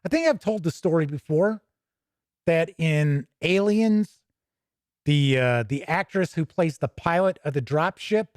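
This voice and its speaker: A man's voice: fairly high pitch, animated, a little slow, darkish tone, no echo, clean studio sound. A man hosting a tabletop gaming show, casually thinking aloud and drifting into asides as he pages through a book. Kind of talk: casual live commentary while paging through a rulebook.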